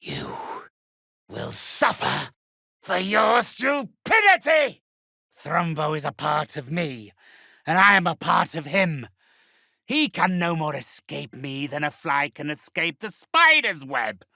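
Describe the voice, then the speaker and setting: An actor voicing a wrathful, possessive undead being in a video game, menacing and theatrical, with a gravelly, forceful voice. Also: medium pitch, very animated, slow pace, dry recording, studio quality, heavily acted. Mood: enraged. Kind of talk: scripted video game character acting.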